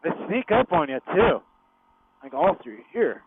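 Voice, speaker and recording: A man's voice: fairly high pitch, big pitch swings, measured pace, vocal fry, slight background noise. A man chatting casually as he rides, heard through a motorcycle helmet intercom. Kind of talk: casual chat while riding. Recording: dry, tinny helmet intercom radio.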